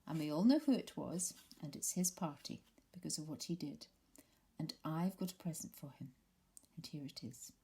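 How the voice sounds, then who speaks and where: A woman's voice: low-pitched, normal speed, mellow. A woman voicing an eager young boy who announces things earnestly.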